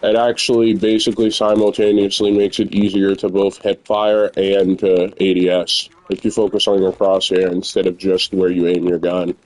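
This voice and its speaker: A young man with a naturally loud voice, chatting candidly and rambling while he plays a video game at home. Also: sits low, flat delivery, moderate pace, hardly any pauses, low-quality recording. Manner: rambling, candid, filler-heavy. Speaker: gamer streamer. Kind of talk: casual gameplay commentary.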